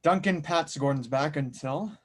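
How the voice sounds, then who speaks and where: A man's voice: a little high, moderate pace. A man reading scripted narration and stage directions as the narrator of an audio drama table read.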